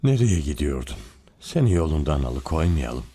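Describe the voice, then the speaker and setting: A man voicing a grave, wise priest in a cartoon, solemn and measured. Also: very deep voice, very animated, mellow, breathy edge, exaggerated voice. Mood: calm, curious. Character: grave, wise Orthodox priest, courteous and thoughtful. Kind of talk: cartoon voice acting.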